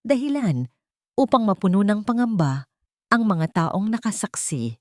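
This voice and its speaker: A woman with a Filipino accent narrating a scripted love story in a measured, solemn, sentimental manner. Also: mid-range voice, sing-song, darkish tone, dry recording, studio quality.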